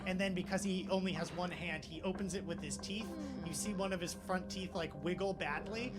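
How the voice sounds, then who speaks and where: A man's voice: high-pitched, fairly even intonation, fairly quick, loud music behind the voice. A man working as game master, improvising narration for a tabletop role-playing game.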